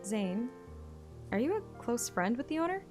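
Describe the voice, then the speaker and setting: A woman's voice: a little high, normal speed. An actress playing a playful, plucky young woman hunter, narrating and voicing a first-person game story expressively. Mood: nervous. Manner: expressive, teasing. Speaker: player-character narrator. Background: background music.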